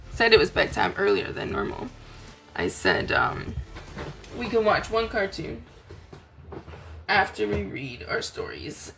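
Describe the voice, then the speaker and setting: A tired woman with a raspy voice, chatting casually as a crafting host, rambling gently. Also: mid-range voice. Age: adult, aunt. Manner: rambling, chatty, soft-spoken. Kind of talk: casual chat to camera.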